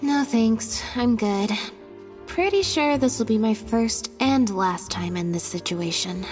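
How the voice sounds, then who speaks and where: A woman's voice: on the high side, lively intonation, takes it slowly, darkish tone, big-room sound, faint music behind. An actress voicing a reserved, laconic young female magician's assistant in a video game, understated and terse. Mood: deadpan. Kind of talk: video game character dialogue.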